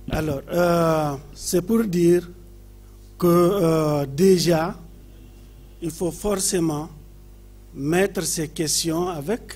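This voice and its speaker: A man from Niger with a Nigerien accent, speaking off the cuff as an adviser at a seminar, phrasing his points deliberately with frequent pauses. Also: a little high, darkish tone, slight background noise. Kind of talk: seminar intervention, unscripted public speaking.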